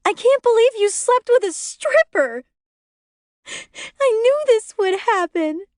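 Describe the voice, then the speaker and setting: A woman's voice: high voice, very animated, moderate pace, darkish tone, no echo, studio quality, pitched above the performer's own voice. Woman doing a screaming, hysterical pregnant wife. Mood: screaming furious. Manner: shrieking, accusing.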